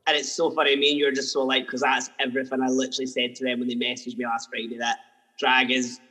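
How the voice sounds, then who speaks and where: A man's voice: mid-range voice, completely flat delivery, fast, pushed and bright, in a large hall, rough recording. A man hosting a podcast interview, talking enthusiastically in long run-on phrases. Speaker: podcast host. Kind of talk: interview chat.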